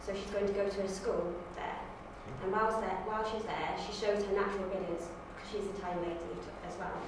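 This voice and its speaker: A woman's voice: mid-range voice, little pitch movement, quick pace. A woman talking casually and unscripted, in a hesitant, self-correcting way. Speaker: auditioning actor. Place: indoor university room. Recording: distant, very echoey room, faint noise.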